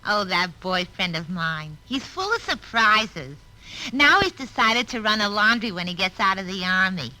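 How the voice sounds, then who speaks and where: A woman's voice: medium pitch, expressive, moderate pace, bright, forceful tone, rough recording. A woman playing a chatty, naive switchboard operator, gossiping brassily in a vintage radio comedy. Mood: dreamy, naive. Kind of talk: 1950s radio comedy acting. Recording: old radio recording, faint noise.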